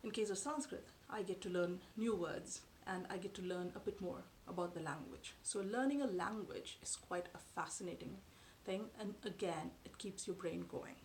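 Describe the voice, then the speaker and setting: A woman in her thirties whose first language is Tamil, talking to camera from a sofa in her living room in a measured, thoughtful way with frequent pauses. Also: fairly low pitch, a little mellow, a little hiss or hum, poor audio. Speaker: vlogger. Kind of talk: explanatory talk to camera. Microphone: camera in front at close range.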